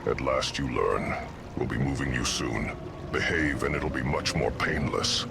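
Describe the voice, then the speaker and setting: Booming, very deep orc warlord. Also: male voice, measured pace, vocal fry, full character voice. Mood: cold menace. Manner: barking, menacing commands. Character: brutal, proud old male orc warlord. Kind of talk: fantasy game cutscene acting. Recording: very loud music over voice. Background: loud music.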